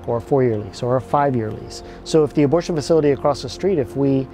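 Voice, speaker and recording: A man's voice: mid-range voice, fast talker, soft background music. A man in his forties, a pregnancy center leader, explaining steadily in a seated interview inside a parked van. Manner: steady, plain explaining with fillers.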